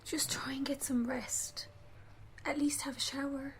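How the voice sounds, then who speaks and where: Irish actress as bereaved young mum, female, highish soft breathy voice, tired coaxing, radio drama. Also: flat delivery, a little slow, a little hiss or hum, low-quality recording. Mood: pleading. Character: grieving young mother, weary, gently coaxing her partner. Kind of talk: radio play acting.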